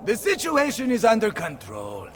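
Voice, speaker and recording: An actor playing a hot-headed, proud Italian general in a video game, blustering and theatrical with an Italian accent. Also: male voice, high voice, huge pitch swings, normal speed, recorded from a distance, slight background noise. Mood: boastful, defensive.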